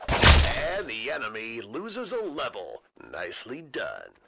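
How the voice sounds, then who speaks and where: A man's voice: mid-range voice, wildly swooping pitch, slow, creaky, bright, forceful tone, exaggerated voice. A man voicing a pompous, smug, dramatic movie-trailer announcer for a video game voice pack. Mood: grandiose, smug.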